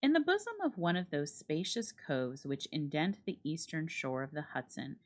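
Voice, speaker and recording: A woman reads a literary story aloud as a dramatic narrator, with measured, expressive phrasing. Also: deep voice. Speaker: library staff reader and host.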